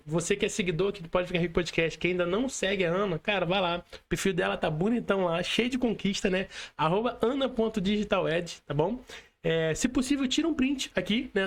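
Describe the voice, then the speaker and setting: A man's voice: high-pitched, brisk. A man with a Carioca Brazilian Portuguese accent hosting a podcast interview over a call, chatty and slangy with lots of fillers. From Rio de Janeiro, Brazil. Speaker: podcast host. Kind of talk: casual interview chat. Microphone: remote call.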